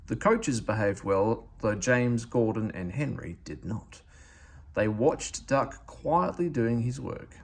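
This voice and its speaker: Male narrator, storybook read-aloud, very lively intonation, medium pitch, slightly husky. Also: slow pace. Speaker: storybook reader.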